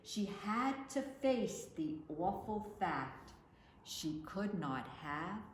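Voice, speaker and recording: A woman reading picture books aloud for children in an expressive, deliberate storytelling delivery, recorded in a roomy space. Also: on the low side. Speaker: children's librarian, storytime reader. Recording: roomy echo with faint background noise.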